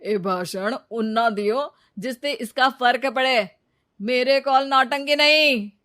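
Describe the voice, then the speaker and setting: A callous, freeloading young boyfriend from a moral tale, put on by a woman. He scolds in a Punjabi accent, slowly and dismissively, in a high-set voice. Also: bright, forceful tone, exaggerated voice.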